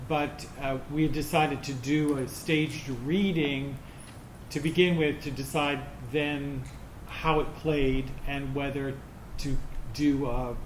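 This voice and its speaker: A middle-aged or older man answering audience questions informally as a theater director, haltingly searching for words. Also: medium pitch, fairly even intonation. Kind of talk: informal post-show Q&A. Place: roomy indoor performance space. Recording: echoey room with clear background noise.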